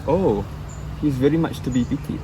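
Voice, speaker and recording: A man playing a weary, formal gentleman near sixty in a stage play, speaking in a measured, slightly halting, melancholy way. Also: mid-range voice, expressive, background noise, natural voice. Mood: resigned. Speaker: stage actor.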